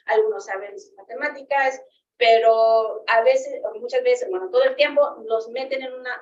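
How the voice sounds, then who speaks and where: A woman with a somewhat high voice speaks out at a public meeting. She is emphatic, repeating phrases and checking in as she goes. The sound is distant and echoey, slightly muffled with faint noise. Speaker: school staff member speaking at a public meeting. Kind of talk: impassioned bilingual public comment. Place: indoor public meeting room.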